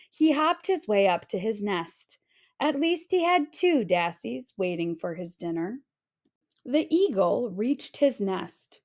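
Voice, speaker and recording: A woman narrating a children's storybook aloud in an expressive, deliberate storytelling style. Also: medium pitch.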